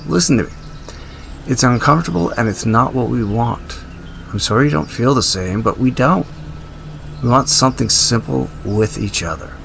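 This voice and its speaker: An actor playing a blunt, earnest, exasperated wasteland survivor and tinkerer in an audio drama, often swearing. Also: male voice, mid-range voice, moderate pace, vocal fry, fairly bright tone. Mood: earnest, uneasy. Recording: noisy ambient sound effects behind.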